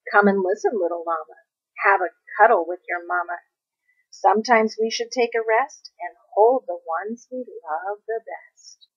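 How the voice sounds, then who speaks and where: A woman reading a rhyming children's story aloud expressively, with deliberate singsong phrasing. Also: medium pitch, breathy edge, dull audio. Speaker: storybook reader.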